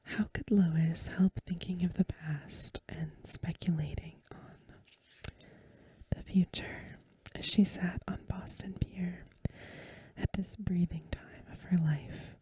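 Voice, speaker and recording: A woman reading a story aloud in a soft, hushed, breathy ASMR whisper with gentle, measured phrasing. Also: on the low side, steady tone, no room sound. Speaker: ASMR reader.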